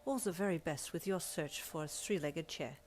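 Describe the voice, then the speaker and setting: A woman's voice: low voice, normal speed, very noisy, natural voice. A woman voicing a gallant, teasing French male valet driver with a French accent in a story. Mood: playful, gallant. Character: suave French male valet chauffeur, playful and flattering.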